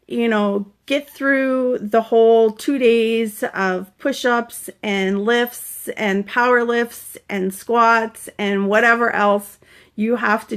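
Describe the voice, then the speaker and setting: A woman's voice: fairly high pitch, clear, resonant tone. A woman in her forties from Canada, a fitness coach talking casually and earnestly to viewers about recovering from a concussion. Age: 40s. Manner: chatty, earnest, breaks off to greet viewers brightly. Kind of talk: casual live-stream vlog, greeting viewers.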